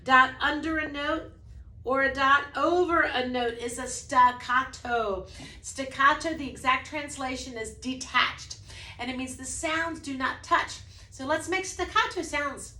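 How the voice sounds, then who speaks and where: Brief: woman music teacher, high bright voice, casual, room echo. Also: measured pace. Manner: demonstrates by singing snippets, then speaks casually; says she has a low voice. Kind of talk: explaining a preschool music lesson.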